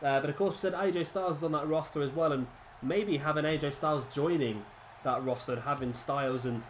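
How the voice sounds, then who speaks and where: A man's voice: fairly high pitch, a little fast, slight background noise. Chatty man with a stuffy cold. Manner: rambling, chatty, many restarts. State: congested with a cold. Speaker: gaming commentator. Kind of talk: casual gameplay commentary.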